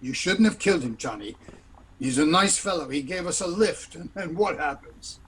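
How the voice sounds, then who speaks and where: An actor playing a timid, weary crooked doctor in a radio play, hesitant and pleading as a villain's sidekick. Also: male voice, a little high, expressive, moderate pace, fairly bright tone, a little hiss or hum. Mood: worried. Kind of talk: old-time radio play acting.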